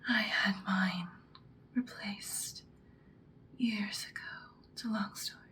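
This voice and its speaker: A woman playing an ancient, powerful female vampire in an ASMR roleplay, whispering breathily in an intimate, calmly menacing, seductive way. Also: mid-range voice. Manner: slow, deliberate, intimate. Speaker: ASMR performer.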